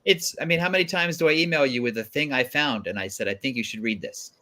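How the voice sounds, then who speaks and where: A man's voice: mid-range voice, quick pace, pushed and bright. A man guest-hosting a casual panel chat, talking conversationally and acting out little bits of dialogue. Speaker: guest host.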